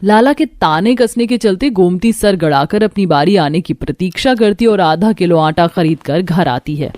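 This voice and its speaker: A woman's voice: fairly low pitch, lively intonation, normal speed, darkish tone, dry recording, studio quality. A woman narrating a cartoon story in a dramatic, scripted storytelling style.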